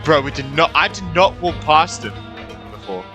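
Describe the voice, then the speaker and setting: A man's voice: a little high, sing-song, moderate pace. A man giving casual gameplay commentary as a streamer, slangy and repeating himself. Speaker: game streamer. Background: game music.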